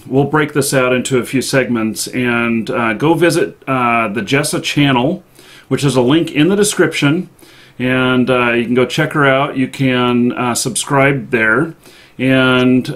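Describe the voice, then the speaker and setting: A man hosts a live online show in a casual, chatty way. His voice sits at a middle pitch. He speaks haltingly, feeling his way through each sentence. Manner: halting, hesitant delivery. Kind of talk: casual livestream chat.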